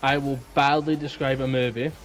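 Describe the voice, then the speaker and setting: A man's voice: mid-range voice, level tone, moderate pace, a crowd in the background, rough recording. A man co-hosting a podcast, talking in a casual, chatty style. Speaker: podcast co-host. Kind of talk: casual chat.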